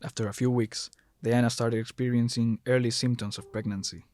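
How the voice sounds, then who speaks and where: A man's voice: mid-range voice, little pitch movement, a little fast, darkish tone. A man narrating a scripted horror story as the narrator, recorded close to the mic.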